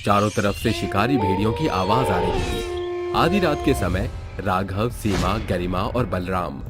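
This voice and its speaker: Male storyteller, low register, steady scripted narration, music underneath. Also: measured pace.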